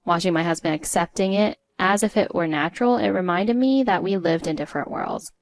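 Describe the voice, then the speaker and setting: A woman reading a scripted first-person story in a measured, articulate way. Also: fairly low pitch, no echo. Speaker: story narrator.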